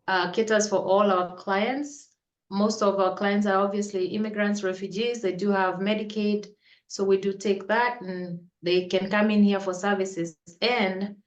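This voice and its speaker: A woman community navigator giving a webinar presentation from her office over a video call. She talks in a halting way, leaning on emphatic "we do" phrasing and often restarting her sentences. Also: medium pitch, lo-fi sound. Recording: echoey room.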